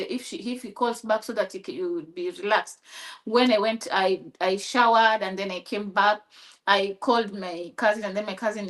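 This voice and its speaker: Kenyan woman telling her story haltingly. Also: medium pitch. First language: Swahili. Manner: halting, with many restarts and fillers; acts out quoted dialogue. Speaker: interview guest. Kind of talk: personal storytelling in conversation.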